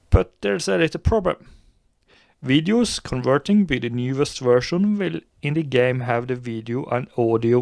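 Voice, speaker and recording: A man presenting a step-by-step software tutorial in a gravelly voice. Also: medium pitch, no echo.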